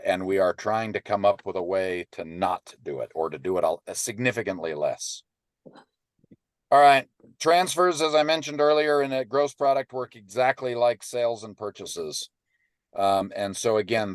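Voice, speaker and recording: Low-voiced man teaching a webinar. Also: dry recording. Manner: informal, restarts and "right?" checks with listeners. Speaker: webinar presenter and ranch business advisor. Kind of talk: teaching webinar, conversational explanation. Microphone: computer microphone on an online webinar call.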